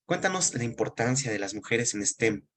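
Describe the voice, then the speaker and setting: A man interviewing a guest in a conversational way, phrasing his points haltingly. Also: mid-range voice, animated.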